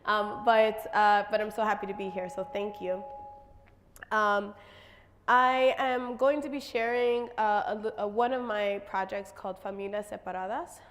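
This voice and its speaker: A woman with a slightly raspy voice presents her artwork to an audience, pausing often with fillers. Her pitch stays fairly flat. The sound carries the long reverb of a large hall. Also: a little hiss or hum. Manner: halting, many fillers. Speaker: visual artist presenting her work. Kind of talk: stage presentation.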